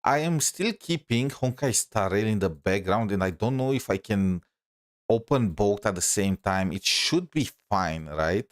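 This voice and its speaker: A man's voice: lowish voice, animated, unhurried, no room sound, clean studio sound. A man giving casual live gameplay commentary as a streamer, talking in an informal, rambling, hesitant way. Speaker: game streamer.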